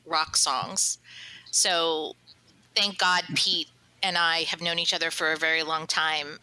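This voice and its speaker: A woman's voice: lowish voice, bright, forceful tone, low-quality recording. A woman chatting casually as a cast member on a podcast panel.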